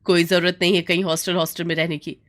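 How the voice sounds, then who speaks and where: A woman's voice: fairly low pitch, little pitch movement, fast, a bit bright, close to an everyday voice. A woman voicing a strict middle-aged mother who scolds and commands, in a storybook tale. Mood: stern, scolding.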